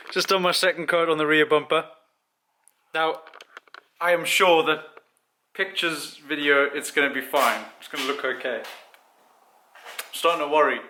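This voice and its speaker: A man's voice: a little high, steady tone, unhurried, long pauses, pushed and bright, slight background noise. A man who grew up in Zimbabwe, chatting casually and self-deprecatingly about fixing up his car in his home garage. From born in South Africa, grew up in Zimbabwe. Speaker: car vlogger. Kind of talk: casual chat while working on a car.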